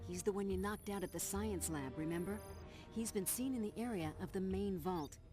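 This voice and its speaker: A woman's voice: mid-range voice, moderate pace, over music, lightly acted. A woman playing a composed intelligence officer, giving businesslike, explanatory mission briefings in a video game.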